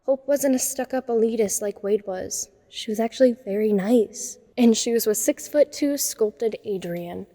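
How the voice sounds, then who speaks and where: A woman's voice: mid-range voice, mellow, long reverb tail, natural voice. A young woman playing an introspective, self-conscious teenage girl who narrates her own story in an audio drama. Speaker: narrator and lead character. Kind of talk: first-person dramatic narration.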